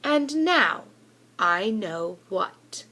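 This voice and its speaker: A woman reading a children's storybook aloud in an expressive narrating style. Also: medium pitch, drawn-out words.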